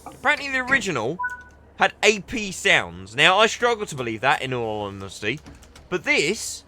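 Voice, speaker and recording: A man's voice: fairly high pitch, huge pitch swings, moderate pace, bright, forceful tone, a little hiss or hum. A young man giving chatty, enthusiastic commentary while playing a train simulator, often restarting his sentences. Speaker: gaming video creator. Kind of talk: casual gameplay commentary.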